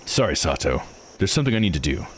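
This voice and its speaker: A man voicing a blunt, plain-spoken man from a visual novel game, gruff and probing. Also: deep voice, very animated, fast, slight background noise. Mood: matter-of-fact.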